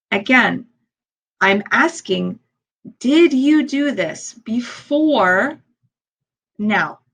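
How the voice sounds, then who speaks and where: Slow-paced woman teaching beginners. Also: a little high, gravelly, a bit bright. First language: English. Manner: deliberate, repeats key phrases, questioning. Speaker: ESL teacher. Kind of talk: simple, step-by-step lesson for language learners.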